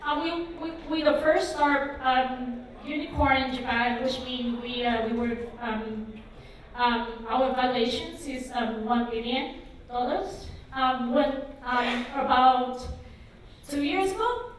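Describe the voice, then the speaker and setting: A woman's voice: sits high, takes it slowly, slight background noise. A woman in her thirties from Vietnam giving a conference talk, hesitant, with many ums and likes. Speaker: conference speaker. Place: conference hall. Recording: echoey hall, mic far off.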